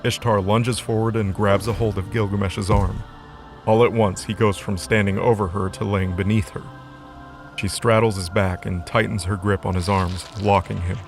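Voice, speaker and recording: Lowish male story narrator over music. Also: little pitch movement, moderate pace. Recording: music under the voice.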